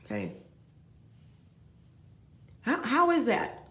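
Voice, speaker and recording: A woman's voice: on the high side, huge pitch swings, extremely slow, fairly bright tone, roomy sound. A woman, rambling and talking straight to her viewers with many asides. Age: 50s. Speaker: vlogger. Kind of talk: rambling confessional vlog. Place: room with a bed, indoors.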